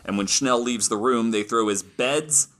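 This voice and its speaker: A man's voice: mid-range voice, moderate pace, a bit bright. A man hosting a casual podcast, telling stories from his notes in an informal, amused, sweary way. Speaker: podcast host.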